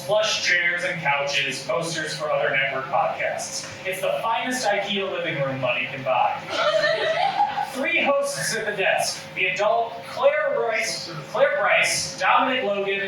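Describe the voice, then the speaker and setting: A man reading scripted stage directions as comedic narration at a live table read. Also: high voice. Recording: very echoey room, distant mic, noisy.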